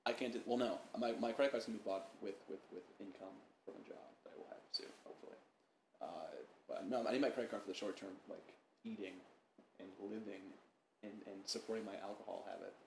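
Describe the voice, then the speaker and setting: A man's voice: fairly low pitch, quick pace, gravelly, a little mellow. A young man co-hosting a casual podcast, rambling and profane, with lots of stammered restarts. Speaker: podcast co-host. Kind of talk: casual banter. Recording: echoey room with faint noise.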